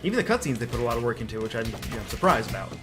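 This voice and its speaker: A man's voice: medium pitch, very animated, rapid-fire. A man chatting casually as a gaming co-commentator, asking questions and reacting to the game. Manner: inquisitive, reacting. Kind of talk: casual gameplay chat. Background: game music.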